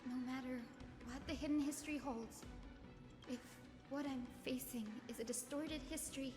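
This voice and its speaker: A woman voicing an earnest, gentle young heroine in a video game, speaking politely and formally with resolve. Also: high voice, steady tone, moderate pace, lightly acted. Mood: resolute. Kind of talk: dramatic video game voice acting. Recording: echoing game audio with music.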